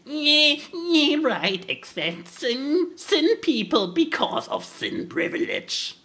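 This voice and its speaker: Here is a smug, preachy internet activist, acted out by a man. The delivery is slow and mocking, with swooping intonation and a bright, sharp edge. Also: very high-pitched, sing-song, voice pushed up, heavily acted.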